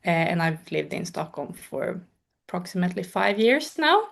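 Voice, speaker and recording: A woman's voice: fairly low pitch, big pitch swings, moderate pace, creaky. A young woman introducing herself as a student on a webinar. She talks casually and stumbles over a word or two. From Sweden. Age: young adult. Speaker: student panelist.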